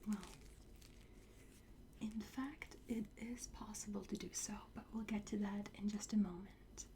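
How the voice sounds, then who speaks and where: A woman whispering softly and breathily in an ASMR roleplay, playing a courteous, instructive fitting attendant. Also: mid-range voice, fairly even intonation, unhurried, noisy background. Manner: soft, polite, instructive. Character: courteous attendant at a time travel suit fitting.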